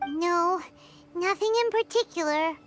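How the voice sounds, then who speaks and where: A woman's voice: very high-pitched, a little slow, faint music behind. A woman voicing a small, plucky, whiny fairy companion in a video game, talking in childlike exclamations. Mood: disappointed. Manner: refers to self in third person, exclamatory.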